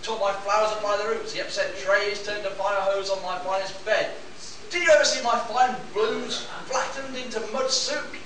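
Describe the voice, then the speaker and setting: A man's voice: high voice, fairly even intonation, moderate pace, a bit bright. A man playing a hot-tempered, indignant bruiser of a pilot in an amateur radio play. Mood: aggrieved, impassioned. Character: hot-tempered big bruiser pilot, defensive, passionate about his flowers. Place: live stage performance at a convention. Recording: distant echoey room, noisy live recording.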